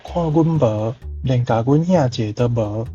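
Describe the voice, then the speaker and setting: A man's voice: medium pitch, a little slow, dark tone, slightly rough, faint music behind. A man with a Taiwanese accent reading out translations as a language teacher, on a dull recording.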